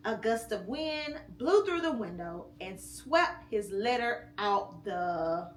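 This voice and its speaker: A mother reading a picture book aloud to her child at home, slow and expressive with pauses between phrases. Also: mid-range voice. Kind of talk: storybook read-aloud. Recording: echoey room with faint hum.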